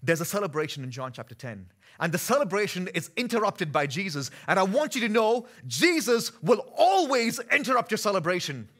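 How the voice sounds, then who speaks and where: A man with an Indian accent delivers a sermon from a dim church stage. His voice sits a little high and sounds bright and forceful. He shifts from relaxed, chatty asides into loud, emphatic preaching. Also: brisk, few pauses. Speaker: pastor.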